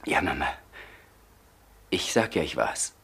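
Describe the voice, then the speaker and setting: An actor playing a tormented man confessing in a crime radio play, haltingly, often dropping toward a whisper. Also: a bass voice, expressive, gravelly, fairly bright tone, slightly rough, full character voice. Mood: halting, subdued. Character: tormented man confessing a killing to his brother. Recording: slightly noisy old radio play recording.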